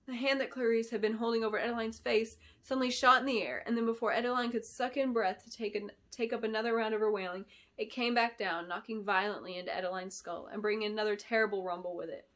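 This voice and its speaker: A woman narrating a fantasy novel in an expressive, deliberate storytelling style, as an author reading aloud. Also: a little high. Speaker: author reading her book. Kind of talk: dramatic scripted fantasy narration.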